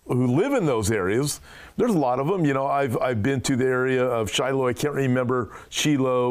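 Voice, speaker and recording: A man, a pastor hosting a show, asking long earnest questions full of fillers. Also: medium pitch. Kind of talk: interview conversation.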